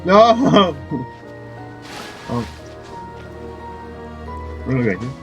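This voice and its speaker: Lively male gamer commentating. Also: fairly high pitch, drawn-out words. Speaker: gamer playing a story quest. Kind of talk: casual gameplay commentary, reading dialogue options aloud. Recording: faint game music behind.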